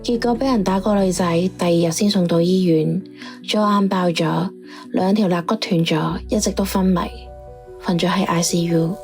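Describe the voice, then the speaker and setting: A woman's voice: on the low side, fairly even intonation, moderate pace, a little mellow, lightly acted. A woman playing a world-weary informant who reports her findings in a matter-of-fact, resigned way in an audio drama. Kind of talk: radio drama dialogue. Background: music.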